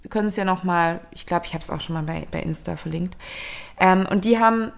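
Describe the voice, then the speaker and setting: A woman's voice: lowish voice, quick pace, vocal fry. A woman co-hosting a fashion podcast, talking casually in a hesitant, rambling way with many fillers. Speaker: podcast co-host. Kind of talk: casual chat.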